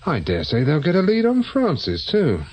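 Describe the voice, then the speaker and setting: A man's voice: on the high side, wildly swooping pitch, moderate pace, a little mellow, a little hiss or hum. A British man acting in a radio drama as a world-weary, sardonic private investigator who used to be a policeman. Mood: wryly amused. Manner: dry, sardonic. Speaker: actor.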